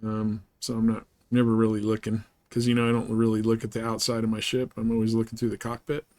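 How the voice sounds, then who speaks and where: A man hosting a live game stream, chatting casually and haltingly with viewers as he thinks aloud in an easygoing way. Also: fairly low pitch, monotone, a little mellow, no echo. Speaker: game livestream host. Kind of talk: casual livestream chat while gaming, answering viewers.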